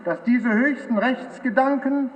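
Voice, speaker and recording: An actor playing a fervent conservative politician, declaiming gravely in a formal parliamentary speech. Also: male voice, very high voice, a little slow. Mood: solemn, fervent. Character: solemn, fervent male conservative politician addressing an assembly. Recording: dull sound in a long echoing hall.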